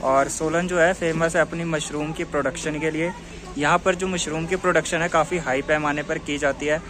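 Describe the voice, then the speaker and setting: A man's voice: on the high side, fairly even intonation, normal speed, crowd noise. A man vlogging a mountain train journey, chatting like a tour guide and leaning on the same filler phrase. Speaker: travel vlogger. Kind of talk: travel vlog narration. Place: aboard a mountain toy train and on station platforms, outdoors.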